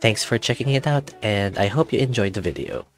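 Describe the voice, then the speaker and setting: A man hosting his channel, reading a visual novel aloud in a relaxed, chatty way. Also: lowish voice, a little fast, faint music behind. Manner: relaxed, chatty, laughs at the text. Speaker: channel host.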